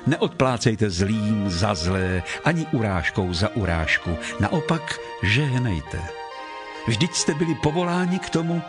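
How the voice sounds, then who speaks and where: A man with a fairly low voice reads scripture for an audio Bible. His delivery is solemn and measured, each phrase set down deliberately. Music plays clearly behind him throughout. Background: background music.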